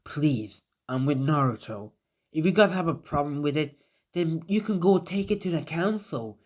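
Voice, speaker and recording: A narrator voicing a weary, fed-up young ninja who speaks calmly in a fan-made story. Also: mid-range voice, moderate pace, close to an everyday voice.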